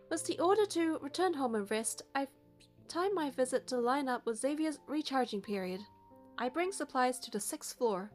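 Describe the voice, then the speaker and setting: A woman with a high voice reads story narration expressively, as a player going through a game. Soft music plays behind her.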